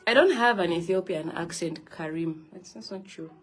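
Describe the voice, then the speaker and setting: A woman's voice: lowish voice, normal speed, husky, soft background music. A young woman hosting a phone live stream, talking earnestly and encouragingly to her viewers about self-love and positivity. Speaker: livestream host.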